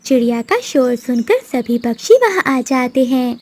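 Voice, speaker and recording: A woman's voice: high-pitched, lively intonation, moderate pace, a little mellow, no room sound. A woman narrating a scripted children's bird story as the storyteller.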